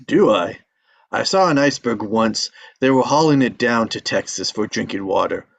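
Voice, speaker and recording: Man acting a gravelly, stubborn old storytelling father. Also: lowish voice, animated, moderate pace. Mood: wry storytelling. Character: aging father, a stubborn, folksy tall-tale teller. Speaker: actor in a screenplay table read. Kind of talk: live script table read, dramatic dialogue.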